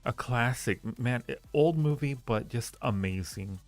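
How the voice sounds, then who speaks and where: A man hosting a casual livestream, musing aloud in fragments as he talks with viewers in a gravelly voice. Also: normal speed, soft background music. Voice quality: low-pitched, gravelly creak. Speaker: livestream host reading viewer chat.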